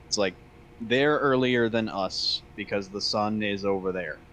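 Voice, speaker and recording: A man's voice: a little slow, a bit bright. An American man chatting casually as a companion on a game livestream. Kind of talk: casual chat. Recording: noisy background with low rumble.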